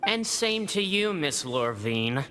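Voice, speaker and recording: A bright, forceful voice for an arrogant, sardonic prisoner in a video game. The delivery is mocking and animated, swinging widely in pitch, with long reverb around it. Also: male voice, takes it slowly, heavily acted. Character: arrogant, bickering male prisoner, chronically sick researcher. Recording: long reverb, faint background noise.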